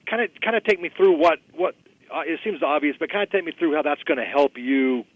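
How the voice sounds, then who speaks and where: A man's voice: on the high side, brisk, no room sound, phone-quality sound. A man, a sports reporter, asking polite, rambling, tentative questions unscripted on a conference call.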